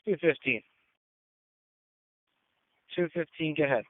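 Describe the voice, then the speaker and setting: A man's voice: on the high side, a little slow, no echo. A man working as a dispatcher, answering units briefly over two-way radio. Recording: tinny narrowband radio transmission.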